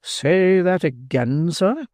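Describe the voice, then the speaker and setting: A man's voice: high voice, lively intonation, slow pace, a little mellow, no echo, studio quality, pitched above the performer's own voice. A man voicing a pompous, indignant older parish beadle in an audiobook reading. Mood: outraged. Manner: pompous, blustering.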